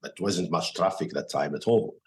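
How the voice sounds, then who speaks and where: Man, Moroccan accent, Arabic native speaker, very low voice, hesitant broken English, podcast guest. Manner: halting non-native English, many fillers and restarts. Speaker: guest chef. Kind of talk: casual podcast interview.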